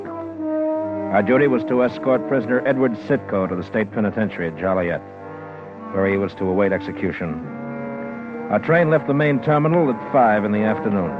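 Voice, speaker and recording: A slightly muffled vintage radio recording of a man playing a police detective sergeant. He talks on the low side, clipped and factual, a sober procedural lawman from a 1950s crime drama. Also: close to an everyday voice. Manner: clipped, matter-of-fact. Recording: muffled old radio broadcast.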